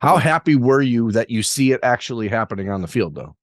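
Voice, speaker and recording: A man's voice: medium pitch, expressive, a little fast, dry recording, studio quality. A man hosting an interview podcast, asking long, rambling questions with self-deprecating asides. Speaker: podcast host.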